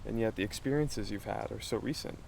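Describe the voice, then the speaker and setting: An actor playing a curious, determined American investigator in an audio drama, questioning things in a thoughtful, earnest way. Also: male voice, fairly low pitch, a little fast, gravelly, a little mellow, slight background noise, rough recording. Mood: inquisitive. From Los Angeles, United States. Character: curious, determined young male amateur investigator. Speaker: investigative podcast host. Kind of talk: naturalistic audio drama dialogue.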